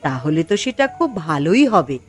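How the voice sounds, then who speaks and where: A woman's voice: deep voice, sing-song, fairly quick, faint music behind. A woman voicing a worried, practical and supportive poor wife in a cartoon. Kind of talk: cartoon dialogue acting.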